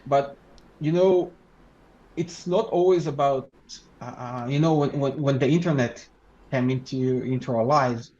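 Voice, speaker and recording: A man whose first language is Hebrew, a law researcher and lecturer answering interview questions in a measured, halting way with frequent restarts. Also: medium pitch, mellow, highs cut off. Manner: measured, halting, with many restarts and "you know".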